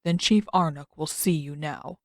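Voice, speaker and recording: Woman as a stern, low-voiced old man. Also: moderate pace, vocal fry, dry recording, clean studio sound. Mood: stern disapproval. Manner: formal, disapproving. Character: stern, dry old man, formal elder.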